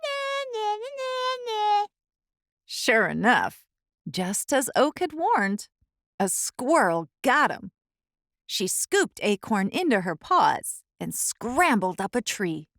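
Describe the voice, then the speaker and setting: A woman reading a children's storybook aloud as the host, in an expressive, deliberate storytelling style. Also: medium pitch, gravelly, no echo, clean studio sound.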